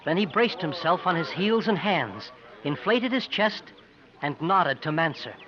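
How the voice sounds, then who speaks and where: A man's voice: on the high side, very animated, unhurried, crowd noise. An actor playing an earnest, loyal young male sidekick who narrates a 1950s radio adventure. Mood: dramatic narration. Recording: muffled 1950s radio broadcast.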